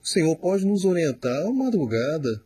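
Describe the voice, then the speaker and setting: A man, a pastor, answering questions in a studio, slow and emphatic, often repeating words as he explains. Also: on the high side, very animated, dark tone, slightly rough, dry recording. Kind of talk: studio Q&A teaching.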